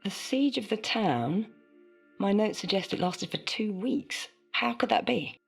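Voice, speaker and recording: A woman's voice: medium pitch, animated, unhurried, creaky, faint music behind. An actress playing a female interviewer who asks probing, businesslike questions in a video game.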